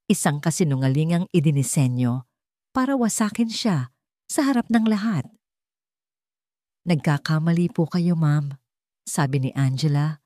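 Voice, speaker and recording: Audio story narrator: Filipino woman, deep-voiced and warm, scripted dramatic delivery. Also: dry recording, clean studio sound.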